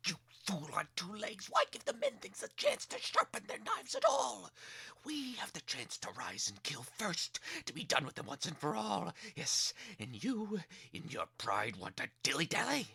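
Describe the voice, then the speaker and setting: A man's voice: high voice, huge pitch swings, moderate pace, vocal fry, pushed and bright, breathy edge, full character voice. A man playing a fawning, nervous rat advisor who stammers and repeats himself obsequiously in a dramatic reading. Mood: agitated, desperate. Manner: obsequious, repeats "yes, yes" hesitantly.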